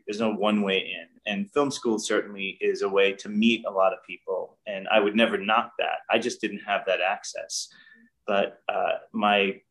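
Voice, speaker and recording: A middle-aged man speaks in a lowish, unhurried voice, reflecting earnestly and often restarting his sentences. He is talking from a room at home over a webcam call. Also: fairly bright tone, poor audio. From New York. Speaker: guest director. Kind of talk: casual interview. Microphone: video call webcam.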